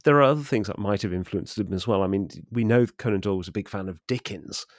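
A man co-hosting a literary discussion in a scholarly, measured manner, setting out his points with care. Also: lowish voice, no echo, studio quality. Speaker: podcast co-host.

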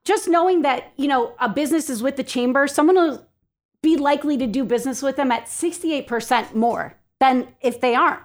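High-voiced, upbeat woman in interview. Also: brisk. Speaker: podcast guest, chamber of commerce president. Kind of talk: casual interview talk. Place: home basement studio.

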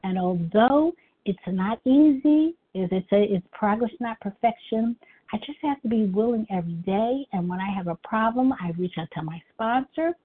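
A middle-aged woman with a high, girlish voice, telling her personal recovery story at a meeting in an earnest, halting way with many restarts. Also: husky. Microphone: phone call. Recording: tinny phone line.

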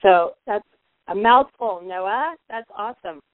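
Raspy-voiced woman podcast host, phone line. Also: mid-range voice, unhurried, no room sound. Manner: upbeat, chatty, reads guest bio aloud. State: hoarse from a cold. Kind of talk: casual podcast interview. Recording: tinny phone-like line, dry.

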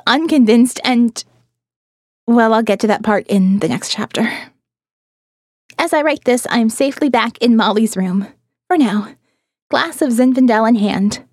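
A female audiobook narrator with a fairly high voice reads a first-person diary. She is expressive and reflective with a wry edge. The recording is close and dry, with no room sound. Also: dry recording. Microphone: close studio mic, dry.

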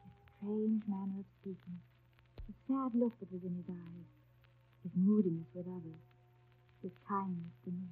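An actress playing an earnest, reserved young governess in a 1930s radio drama, speaking and narrating in a grave, polite, restrained manner. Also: female voice, fairly low pitch, steady tone, moderate pace, long pauses, husky. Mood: hushed, tense. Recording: muffled, tinny 1930s radio broadcast.

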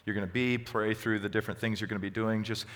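Man, pastor, low voice, bright tone, conversational teaching, hall reverb. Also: steady tone, quick pace. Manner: conversational, gently encouraging. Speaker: pastor leading a group session. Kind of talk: teaching and answering questions.

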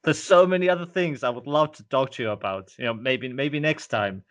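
A man's voice: medium pitch, fairly quick. A man with an Estonian accent hosting a podcast interview, chatty and leaning on "you know" as he talks. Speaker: podcast host. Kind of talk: casual interview chat.

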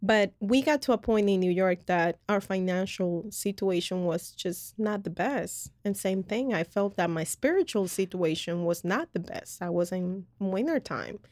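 A woman's voice: medium pitch, expressive, normal speed, hardly any pauses, a little mellow, no echo. A woman from Colombia, hosting a podcast and sharing her faith story in a rambling, earnest way with lots of fillers. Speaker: podcast host. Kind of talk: personal faith testimony in casual chat.

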